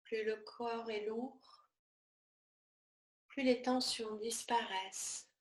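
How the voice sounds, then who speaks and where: A woman teaching yoga nidra, guiding a relaxation meditation in a soothing, measured manner with short, spaced-out phrases. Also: on the high side, steady tone, reverberant.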